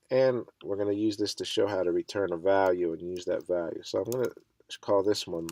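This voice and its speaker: A man with a stuffy cold walks through a programming lesson in a relaxed, thinking-aloud way. His voice sits low with some gravel in it. The sound is close and dry, with keyboard clicks now and then. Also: no echo. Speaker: coding instructor. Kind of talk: screen-recorded coding tutorial.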